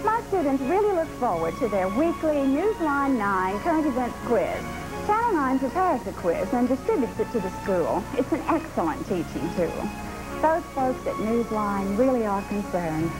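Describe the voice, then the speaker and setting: A woman teacher gives a promotional testimonial in a high voice with animated pitch. Music plays behind her on a 1980s TV tape. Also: moderate pace, low-quality recording.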